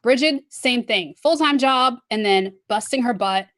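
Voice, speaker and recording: A woman's voice: on the high side, unhurried, a bit bright, no echo, studio quality. A woman guest in a casual chat, gushing and chatty.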